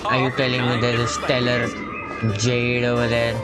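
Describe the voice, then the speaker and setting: A man's voice: mid-range voice, monotone, normal speed. A man casually commenting and joking while playing a story game, sometimes reading dialogue aloud. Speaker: gaming streamer. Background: loud game music.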